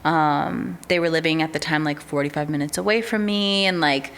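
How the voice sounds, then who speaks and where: Low-voiced young woman, chatty with fillers. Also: moderate pace. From United States. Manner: relaxed, chatty, with many fillers like "um" and "like". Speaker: podcast guest, artist manager. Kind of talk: casual interview chat.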